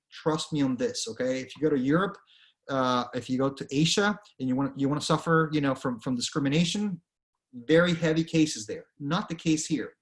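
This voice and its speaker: A man doing casting: man with a Venezuelan accent and a fairly high voice, a direct speaker who talks students through the topic. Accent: Venezuelan Spanish. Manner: earnest, direct, conversational with frequent okays. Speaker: career speaker. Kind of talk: presentation to students.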